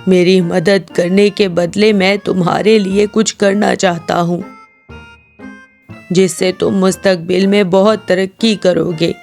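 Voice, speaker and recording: A woman voicing a kindly old man in a cartoon story, gentle and instructive, over music. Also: lowish voice, level tone, a little slow, gravelly, pitched below the performer's own voice.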